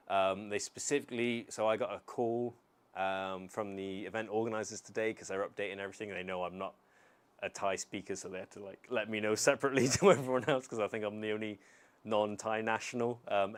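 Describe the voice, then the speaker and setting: A young man from the United Kingdom with a lowish, smooth, resonant voice talks loosely to his stream chat. He rambles easily, filling gaps with ums and restarting sentences as he thinks. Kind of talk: casual live-stream chat.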